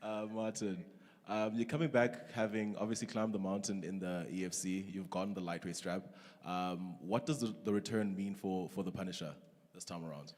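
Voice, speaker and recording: Press conference interviewer, low voice, hesitant, hall reverb. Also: male voice, fairly even intonation, darkish tone. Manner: halting, filler-heavy questions. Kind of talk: asking interview questions.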